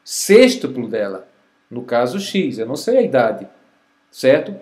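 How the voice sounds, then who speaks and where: A man's voice: mid-range voice, sing-song, a little mellow. A Brazilian man teaching a recorded math review lesson, explaining step by step and checking in often with the class. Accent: Brazilian Portuguese. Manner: slow, patient explaining with frequent check-ins.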